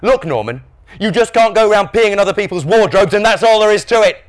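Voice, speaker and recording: A man voicing an exasperated, incredulous dad from a children's storybook, loud and indignant. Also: mid-range voice, huge pitch swings, normal speed. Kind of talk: children's storybook character reading.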